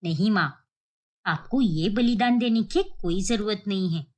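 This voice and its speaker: A woman's voice: medium pitch, a little slow, darkish tone, pitched below the performer's own voice, natural voice. A woman voicing a devoted young granddaughter, insistent and emotional, in a cartoon melodrama. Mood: determined.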